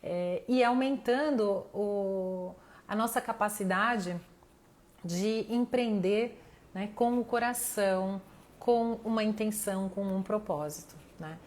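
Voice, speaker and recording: A woman's voice: medium pitch, takes it slowly, a little mellow. A woman with a Brazilian accent teaching informally as a mentor in an online live class, speaking directly to her audience and thinking aloud, with a slightly tired voice. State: voice tiring, losing it slightly. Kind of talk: informal motivational teaching to camera.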